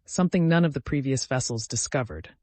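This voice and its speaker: A man's voice: medium pitch, a little fast, a little mellow, no room sound, natural voice. A man voicing a fierce, protective female companion in a fantasy story, firm and emphatic.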